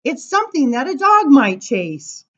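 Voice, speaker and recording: Expressive, high-voiced woman reading aloud. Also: muffled recording. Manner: informal, with dramatic emphasis and drawn-out words. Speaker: library story time reader. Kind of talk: expressive read-aloud of children's poems.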